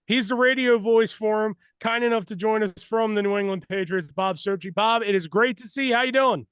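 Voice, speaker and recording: A man's voice: high voice, a little fast, fairly bright tone, clear, resonant tone, no room sound, clean studio sound. A man hosting a sports podcast, introducing guests and moving the show along. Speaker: podcast host.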